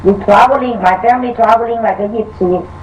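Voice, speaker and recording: An older woman from Thailand with a Thai accent, answering interview questions in halting, broken English full of fillers. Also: a little high, darkish tone, far from the mic, slight background noise, rough recording. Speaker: author guest.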